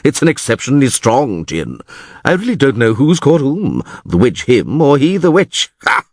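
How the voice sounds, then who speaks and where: A man's voice: mid-range voice, huge pitch swings, moderate pace, slightly rough, no echo, clean studio sound. Man playing an emphatic, know-it-all fantasy priest. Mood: gloating. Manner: emphatic, pedantic.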